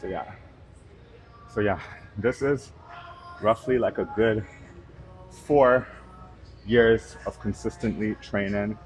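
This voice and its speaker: Low-voiced man in his thirties. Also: takes it slowly, pauses often, low-quality recording. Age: 30s. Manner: relaxed, rambling, with fillers. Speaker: fitness vlogger. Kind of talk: casual vlog chat. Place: gym, indoors.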